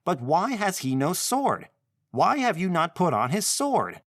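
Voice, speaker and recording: A man's voice: high voice, sing-song, measured pace, dry recording, studio quality, voice pushed up, heavily acted. A man doing a cheeky young boy. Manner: bright and laughing.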